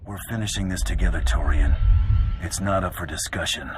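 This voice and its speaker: Man acting very deep gruff bounty hunter. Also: fairly quick, background noise, close to an everyday voice. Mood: firm, protective. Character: gruff, confident male bounty hunter, terse and cocky. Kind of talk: dramatic video game dialogue. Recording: game music behind the voice.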